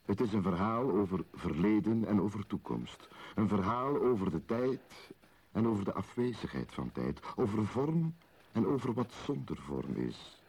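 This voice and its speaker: An actor playing a mysterious, solemn storyteller in a radio play, with a husky voice and an incantatory, intimate delivery. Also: male voice, fairly low pitch, very animated, normal speed, darkish tone, full character voice. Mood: mystical, solemn. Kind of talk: poetic radio-play narration.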